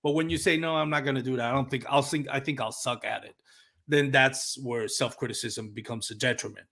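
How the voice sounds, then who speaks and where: A man with a Puerto Rican accent hosts a relaxed live podcast in a mid-range voice. English is his second language after Spanish, and he thinks aloud, pausing now and then to find a word. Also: medium pitch. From Puerto Rico. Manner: reflective, searching for words. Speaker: podcast host. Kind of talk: casual live podcast interview.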